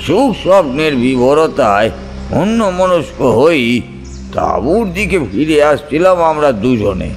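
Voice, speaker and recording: A man reading a scripted audio story as its narrator. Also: mid-range voice. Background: soft background music.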